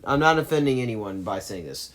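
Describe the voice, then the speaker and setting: A man's voice: mid-range voice, expressive, brisk, fairly bright tone. Man giving heated, rambling movie opinions. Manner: argumentative, emphatic, rambling. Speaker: movie commentator.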